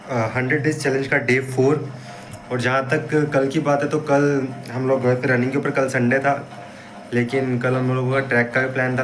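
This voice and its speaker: A young man with a hoarse voice and a blocked nose, giving an upbeat pep talk to viewers in a casual fitness vlog. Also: mid-range voice, a bit bright, poor audio. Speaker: fitness vlogger. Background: street traffic and wind.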